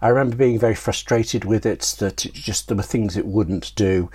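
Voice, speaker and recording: A man's voice: lowish voice, moderate pace, darkish tone, slightly rough. A British man talking casually as an interview guest, a talkative writer who interrupts himself as he tells anecdotes. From United Kingdom. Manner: talkative, self-interrupting storyteller.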